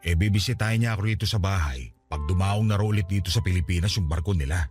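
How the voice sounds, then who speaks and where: A man's voice: low-pitched, fast, darkish tone, soft background music, natural voice. An actor playing an ailing middle-aged Filipino father and former seaman, tender and wounded, speaking earnestly in a radio drama. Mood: fond, reflective. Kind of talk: Tagalog radio drama acting.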